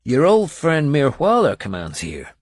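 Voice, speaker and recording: A male narrator voicing a gruff, wry warrior who talks in a blunt, earthy way. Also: mid-range voice, wildly swooping pitch, measured pace, dry recording, studio quality, voice pushed up.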